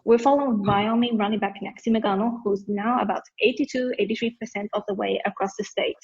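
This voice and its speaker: A woman's voice: medium pitch, fairly even intonation, a little fast, a little mellow, lightly acted. A woman playing an easygoing young play-by-play announcer in an amateur play reading. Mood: steady, informative. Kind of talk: amateur play table read. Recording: dull, lo-fi audio.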